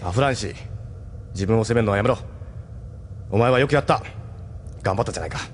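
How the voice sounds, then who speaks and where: A man as a brisk, blunt ship captain. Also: on the low side, slight background noise, close to an everyday voice. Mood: firm, reassuring. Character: gruff, pragmatic, reassuring male ship captain. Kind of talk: Japanese audio drama acting.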